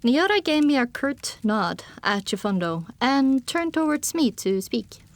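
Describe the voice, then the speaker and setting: A woman narrates a first-person fantasy audiobook. Her voice is fairly high and moves widely in pitch as she tells the story expressively. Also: very animated, a little slow.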